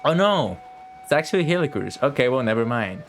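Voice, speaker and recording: A man's voice: medium pitch, very animated, a little fast. Young male game streamer: mid-range voice, slangy, sweary, off-the-cuff commentary with faint music behind. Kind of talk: casual live gameplay commentary.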